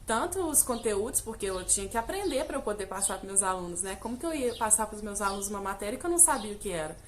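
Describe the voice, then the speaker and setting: A woman's voice: mid-range voice, very animated, fast, a little hiss or hum. A young woman from Brazil, an English teacher, telling her story in a casual vlog with lots of fillers, speaking straight to her viewers. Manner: chatty, direct address with many fillers.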